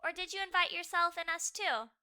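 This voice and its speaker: A woman voicing a sensible, kind young girl in a storybook, gently frank in manner. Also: very high voice, a little fast, pitched above the performer's own voice, full character voice.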